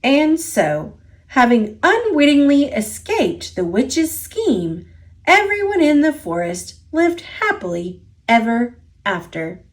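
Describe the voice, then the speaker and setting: Slow, animated woman reading a storybook. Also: sits high. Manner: expressive, deliberate storytelling with drawn-out key words. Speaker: storyteller reading a picture book aloud. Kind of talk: children's story read-aloud, scripted narration.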